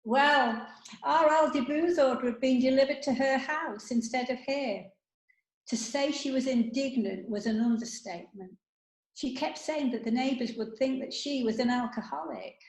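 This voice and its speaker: A woman's voice: sits high, normal speed, natural voice. A woman playing a chatty, matter-of-fact older friend in an amateur comedy sketch. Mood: gossipy. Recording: echoey room.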